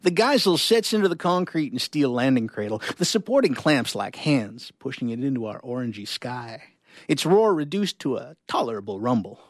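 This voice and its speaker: A man performing a live reading of short stories, with expressive delivery and casual asides. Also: medium pitch, normal speed, dry recording, studio quality.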